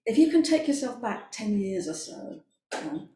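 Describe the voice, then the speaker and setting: An older British woman gives a prepared introduction with some hesitancy. Her voice is soft-edged and mellow, in an echoey room with faint noise. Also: fairly high pitch, big pitch swings, normal speed. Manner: hesitant, unpractised. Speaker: playwright. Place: indoors in a formal parlour.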